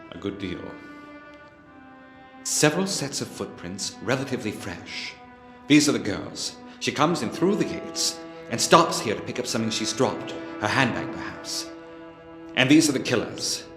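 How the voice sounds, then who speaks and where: An actor playing a keen, coolly analytical Victorian detective, speaking in precise, theatrical deductions in film dialogue. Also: male voice, mid-range voice, very animated, moderate pace, lightly acted. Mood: absorbed, analytical. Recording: reverberant hall sound with music underneath.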